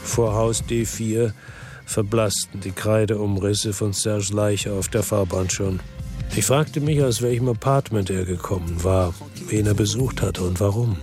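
Ein Schauspieler spielt einen weltmüden Ermittler, der in einem Noir-Hörspiel knapp und grüblerisch aus der Ich-Perspektive erzählt. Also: male voice, lowish voice, unhurried, darkish tone, close to an everyday voice. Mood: brooding, weary. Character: world-weary, brooding male investigator in Marseille noir. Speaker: narrator and lead actor. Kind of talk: first-person noir radio drama narration. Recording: dry studio with music underneath.

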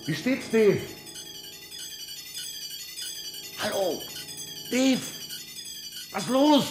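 An actor playing a commanding, excitable scientist in a 1950s radio drama, emphatic and directive. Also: male voice, very high-pitched, takes it slowly, echoey room, heavily acted. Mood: alarmed. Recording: 1950s radio play with music.

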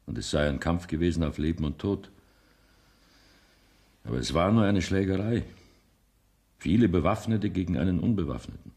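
An actor playing a grave, conscientious senior judge in a radio play, speaking formally in a measured, earnest way. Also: male voice, low-pitched, expressive, vocal fry, darkish tone. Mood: grave sympathy. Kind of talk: radio play acting in standard German.

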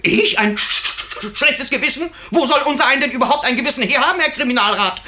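High-voiced, stammering servile gardener. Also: male voice, quick pace, bright, forceful tone, heavily acted. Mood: indignant, accusing. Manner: stammering on consonants. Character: simple, servile male gardener, nervous but spiteful informer. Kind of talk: 1950s German radio play acting.

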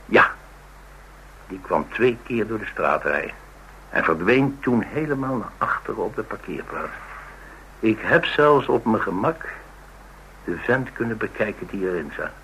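An actor playing an inquisitive, talkative witness who recounts suspicious events wryly in a radio drama. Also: male voice, on the low side, expressive, a little slow, pauses often, a bit bright, slight background noise, low-quality recording. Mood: recollecting. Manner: wry, colloquial storytelling.

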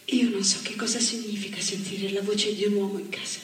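A woman acting in a theatre monologue, playing a grown woman who was raised by her seamstress mother, speaking softly and reflectively. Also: medium pitch, level tone, a little fast, a little hiss or hum, lightly acted. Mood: wistful. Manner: reflective storytelling, rising to firm declaration. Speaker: stage actress. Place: theatre stage. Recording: echoey stage recording from far off.

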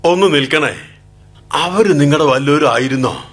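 An actor playing a village man who slowly recounts rumours, rambling and hearsay-laden. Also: on the high side, a bit bright, slight background noise, close to an everyday voice. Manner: slow, rambling, hearsay storytelling. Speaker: actor in radio drama. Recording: old AM radio broadcast.

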